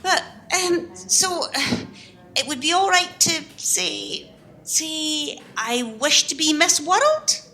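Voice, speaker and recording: A woman's voice: high voice, big pitch swings, takes it slowly, sharp tone, roomy sound, a little hiss or hum, full character voice. An actress playing a down-to-earth, sceptical woman with a blunt, questioning manner in a radio play. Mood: sceptical, bargaining. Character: down-to-earth, sceptical woman haggling over magic wishes.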